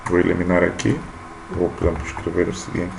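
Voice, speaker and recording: A man's voice: low voice, fairly even intonation, fast talker, gravelly, over music. A man in his thirties teaching programming while typing code on screen, often checking in with "tá?". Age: 30s. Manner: talks while typing, frequent "tá?" check-ins. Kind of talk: screen-recorded coding lesson. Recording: noisy room ambience.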